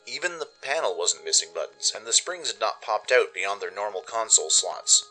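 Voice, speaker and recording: A man reading scripted first-person story narration in an expressive, dramatic way, as the narrator of a radio play. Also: on the low side, normal speed, faint music behind, poor audio.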